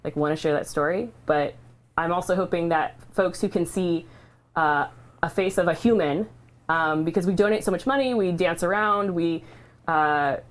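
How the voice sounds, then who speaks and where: Woman, livestream host. Slightly low pitch, candid and heartfelt, feels her way through sentences haltingly. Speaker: charity livestream host sharing her cancer treatment story. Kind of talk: casual, heartfelt unscripted talk.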